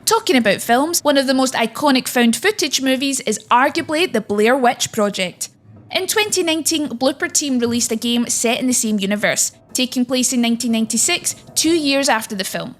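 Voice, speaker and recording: A woman presents a scripted countdown in a high-pitched voice. She reads as a narrator guiding listeners through each entry. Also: expressive, a little fast, faint music behind. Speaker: narrator and presenter of a video game list.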